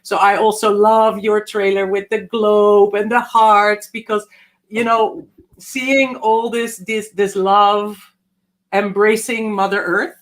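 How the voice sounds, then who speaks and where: A woman from the Netherlands, a guest on a live video call, speaking in a warm, reflective way that sometimes slows and softens. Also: medium pitch, flat delivery. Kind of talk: casual online interview.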